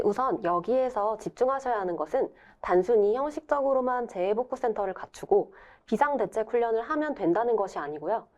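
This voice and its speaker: A woman with a mid-pitched voice presents as part of a webinar. She explains things formally and politely, and the recording sounds somewhat dull and band-limited. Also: a little mellow. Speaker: presenter explaining a software product.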